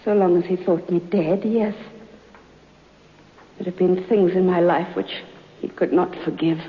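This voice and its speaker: An actress playing a mysterious, resigned lady in an old radio horror drama, measured and solemn. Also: on the low side, steady tone, breathy edge. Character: mysterious, resigned beautiful lady, estranged daughter. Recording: dull, reverberant vintage radio recording.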